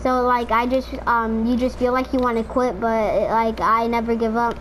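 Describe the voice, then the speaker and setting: High-voiced rambling gamer woman. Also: flat delivery, moderate pace. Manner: rambling, filler-heavy, chatty. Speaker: streamer playing in a squad. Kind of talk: casual gaming chat. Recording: noisy game audio behind.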